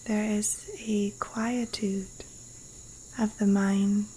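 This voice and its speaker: Very slow, hushed woman guiding meditation. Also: mid-range voice, flat delivery, low-quality recording. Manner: hushed, breathy, soothing delivery with long pauses. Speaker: yoga nidra teacher guiding a meditation. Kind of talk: guided meditation. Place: outdoors in a Costa Rican jungle. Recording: faint rainforest insect and cricket noise. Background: insects and rainforest wildlife sounds.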